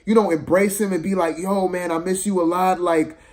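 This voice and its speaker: A man's voice: high voice, steady tone, brisk. A man giving a casual, opinionated movie review, exasperated and slangy, repeating phrases for emphasis.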